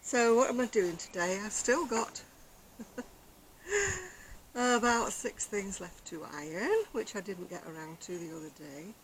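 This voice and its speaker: An older woman vlogging about her crochet, chatting casually on an outdoor deck in a quiet, soft-spoken, rambling way. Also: medium pitch, lively intonation, takes it slowly, a little hiss or hum, poor audio. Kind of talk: casual chat to camera. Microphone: external camera microphone.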